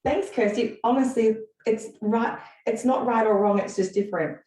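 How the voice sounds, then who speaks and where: A woman's voice: fairly high pitch, normal speed, a little mellow. A woman with an Australian accent, moderating an online business panel as its host. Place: indoors on a video call. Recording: echoey, distant microphone on video call.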